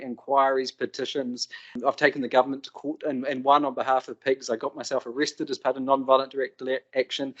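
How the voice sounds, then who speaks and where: A man talks fast and earnestly, as if campaigning, while he opens an event. The sound is a little dull and muffled. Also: mid-range voice. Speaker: advocacy group co-founder and host. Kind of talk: introductory speech.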